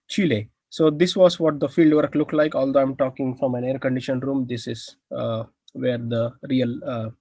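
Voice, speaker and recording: A young man with an Indian accent presents his research from an air-conditioned room, stopping often on "uh" and lifting his voice at the parts he finds exciting. His pitch sits in the middle of the range. The sound is close and dry, with almost no room echo. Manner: many "uh" fillers, enthusiastic about findings.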